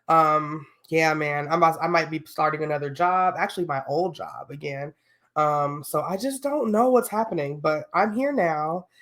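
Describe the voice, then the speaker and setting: A young adult woman hosting a solo podcast, talking casually and candidly with lots of fillers and slang, a little sniffly from a cold. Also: sits low. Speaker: podcast host.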